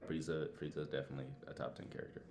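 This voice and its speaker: A man with an extremely low voice co-hosts a casual podcast. He speaks in emphatic slang with the odd swear word, over faint background noise. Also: little pitch movement, very fast, darkish tone. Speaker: podcast co-host. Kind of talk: casual chat ranking favourites.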